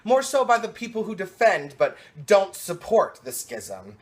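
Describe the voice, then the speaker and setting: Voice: medium pitch, huge pitch swings, measured pace. A slam poet performing rhymed spoken word with an emphatic, dramatic and rhythmic delivery.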